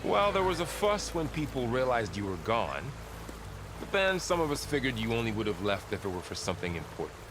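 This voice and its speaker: An actor playing a loyal, curious warrior companion in a video game, plain-spoken and easygoing. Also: male voice, mid-range voice, big pitch swings, brisk, noisy background, natural voice. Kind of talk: naturalistic video game acting. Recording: music behind the voice.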